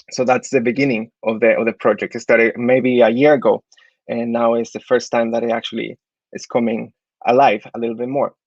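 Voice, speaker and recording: A man's voice: mid-range voice, no echo, muffled recording. A man in his thirties from Chile, an architect giving portfolio tips in an online talk, hesitant, with many fillers and restarts. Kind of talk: online talk with slide review.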